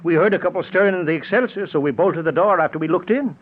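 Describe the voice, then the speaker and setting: An actor playing an eager, plainspoken American police underling in 1940s radio style on a tinny recording. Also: male voice, high voice, quick pace. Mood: matter-of-fact. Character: eager, wisecracking subordinate police officer. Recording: tinny, narrow-band 1940s radio broadcast.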